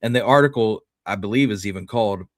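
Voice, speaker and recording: Low-voiced man discussing history, close dry sound. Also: fast talker, no echo. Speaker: history show host.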